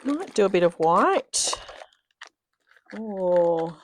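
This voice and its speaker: A woman's voice: medium pitch, big pitch swings, very slow, slight background noise. A woman hosting a live card-making stream, chatting and thinking aloud as she works, a bit sniffly from a cold. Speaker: craft demonstrator, live stream host. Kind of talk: casual live crafting chat.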